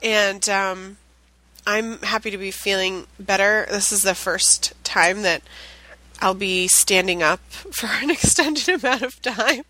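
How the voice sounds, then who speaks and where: Sex: woman. Role: podcast co-host. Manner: chatty, rambling, full of ums. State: getting over the flu. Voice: bright and clear. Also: medium pitch, takes it slowly, no echo, low-quality recording. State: recovering from the flu, with a chest cough. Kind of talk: casual chat. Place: home office, at a standing desk.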